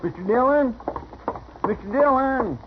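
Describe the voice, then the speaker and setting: An actor playing an eager, simple-hearted deputy in a 1950s radio Western, drawling naively and deferentially in a rural American accent. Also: male voice, very high-pitched, expressive, unhurried. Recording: dull, noisy vintage radio recording.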